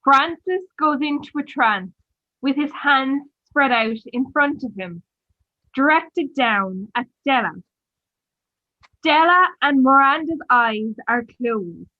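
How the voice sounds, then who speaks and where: A woman's voice: high voice, animated, slow pace. A woman narrating the stage directions of an amateur radio play as a scripted reader. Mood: neutral, measured. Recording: slightly dull, lo-fi audio.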